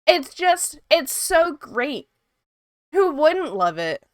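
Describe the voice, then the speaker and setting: A woman's voice: high-pitched, slow pace, no echo. A woman from Ontario, a sniffly art streamer chatting casually with her viewers while she draws. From Canada, Ontario. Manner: chatty, lively, reads chat aloud.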